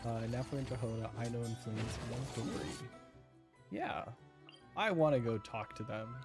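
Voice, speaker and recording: A woman plays a game and comments casually as a streamer. The delivery is playful and expressive, with faint game music underneath. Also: a bass voice, moderate pace. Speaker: gaming streamer.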